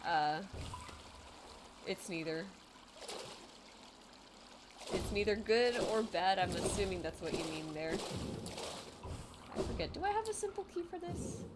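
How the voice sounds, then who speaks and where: A woman's voice: medium pitch, very animated, measured pace, pauses often, background noise. Chatty woman streamer, light Filipino accent. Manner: chatty, self-deprecating, laughs often, reads chat aloud. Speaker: game streamer. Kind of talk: casual live-stream chat while gaming. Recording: game music under the voice. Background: game music.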